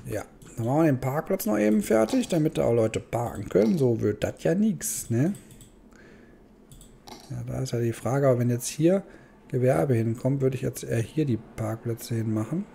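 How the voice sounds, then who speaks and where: A man's voice: medium pitch, lively intonation, normal speed, gravelly, warm tone, slight background noise. A man giving casual let's play commentary, thinking aloud and muttering questions to himself. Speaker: gaming host.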